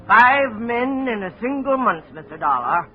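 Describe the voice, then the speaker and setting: An actor playing a worried, earnest steel plant supervisor who explains the work on the mill floor, in a 1950s radio drama. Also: male voice, very high voice, sing-song, measured pace, soft background music, heavily acted. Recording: dull old radio broadcast with hiss.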